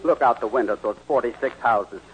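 An actor playing a harried, meek suburban husband and would-be poet, earnest and flustered, in a 1940s radio comedy. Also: male voice, medium pitch, a little fast, slightly rough, highs cut off. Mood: irritated.

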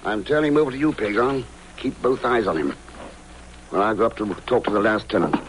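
An actor playing a cool, laconic secret agent in a 1950s radio drama, clipped, dry and unruffled. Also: male voice, fairly low pitch, a little fast, natural voice. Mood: cool, probing. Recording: noisy old radio recording.

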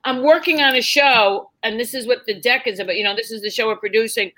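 A woman with a New York accent, telling lively stories as a podcast guest and acting out the people in them. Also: a little high, fast talker. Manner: animated, acts out voices in her stories. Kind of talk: casual storytelling chat.

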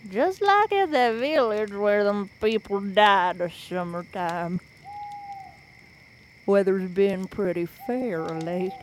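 A woman's voice: medium pitch, darkish tone, slight background noise, poor audio. An actress playing an old woman guide in a radio drama, speaking in a folksy dialect with blunt, plain-spoken warnings. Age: older. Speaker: local guide.

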